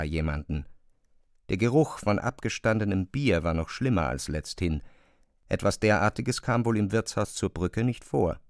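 A man narrating a literary radio drama with measured, descriptive storytelling. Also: on the low side, vocal fry, no room sound, studio quality.

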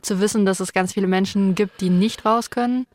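A woman's voice: medium pitch, fairly even intonation, fairly quick, a little mellow, no room sound. A woman hosting a conversational interview podcast in an informal, chatty and inquisitive way, close on the mic. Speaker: podcast host.

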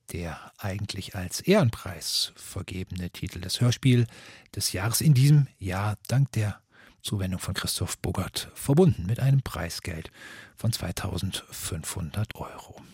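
A man's voice: low-pitched, expressive, measured pace, a little mellow, husky, no echo, studio quality. A man moderating an award ceremony as radio host, speaking formally and politely to guests and listeners.

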